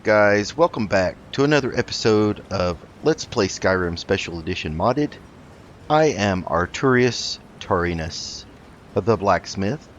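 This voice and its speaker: A man hosting a roleplay gaming video, talking in a measured, halting way as he plays and narrates. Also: on the low side, dull audio, slight background noise. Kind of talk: roleplay let's play commentary.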